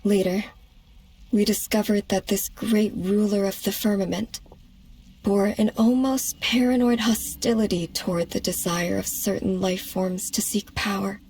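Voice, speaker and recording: A woman's voice: medium pitch, fairly even intonation, darkish tone, slight background noise, close to an everyday voice, rough recording. A woman acting a solemn, composed ancient goddess. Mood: grave. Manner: measured, formal, dignified. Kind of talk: dramatic game cutscene acting. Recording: background music under the voice.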